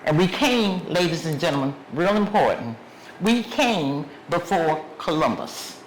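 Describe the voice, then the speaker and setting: A woman's voice: low voice, big pitch swings, a little slow. A woman giving a lecture as guest speaker in a museum, stressing points and listing places one by one. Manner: emphatic, lists places one by one. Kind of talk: public lecture with questions. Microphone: clip mic under a shawl. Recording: echoey room, faint background noise.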